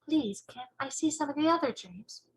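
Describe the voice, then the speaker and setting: A woman voicing a curious, polite, excitable young girl in a children's storybook. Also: high-pitched, moderate pace, pitched above the performer's own voice.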